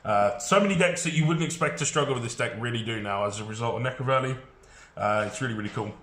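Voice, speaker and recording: A man's voice: mid-range voice, fast talker, fairly bright tone, reverberant. A man with a head cold, sounding congested, chatting casually through a card game deck with frequent fillers.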